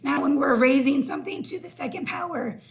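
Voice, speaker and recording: A hoarse woman teaching a recorded math lesson, explaining each step slowly. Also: high voice.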